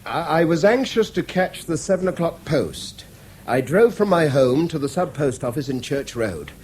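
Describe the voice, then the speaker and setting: A man acting a middle-aged English schoolmaster, conscientious and stubborn, in a seventies radio play. His fairly high voice moves about expressively as he speaks with careful, defensive earnestness. There is faint noise on the old broadcast. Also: expressive, moderate pace, a little mellow, close to an everyday voice. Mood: earnest. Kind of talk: 1970s British radio drama acting.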